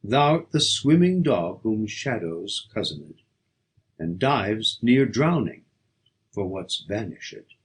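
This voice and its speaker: A man with a markedly rough, breathy-husky voice recites poetry for an audiobook. His delivery is slow and declamatory, swinging widely in pitch around a middling register. He reads with theatrical emphasis. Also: very animated. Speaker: audiobook reader.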